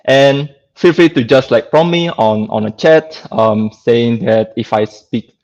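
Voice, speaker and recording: A man's voice: medium pitch, unhurried, a little mellow, lo-fi sound. A man with a Singaporean accent gives an informal online technical lecture as a guest speaker, talking conversationally and often checking in with "right?".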